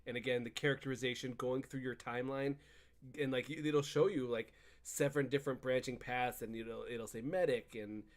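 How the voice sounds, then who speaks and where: Male podcast co-host, mid pitch, informal and slangy, loose storytelling that slips into quoted dialogue. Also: steady tone, brisk. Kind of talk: casual chat about video games.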